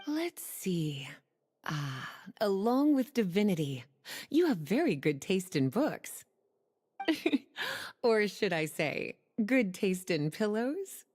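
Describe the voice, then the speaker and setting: A woman's voice: mid-range voice, very animated, slow, a little mellow, slightly rough, exaggerated voice. A woman acting as a gracious, playfully teasing librarian in a video game, poised and courteous in her delivery. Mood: polite, teasing.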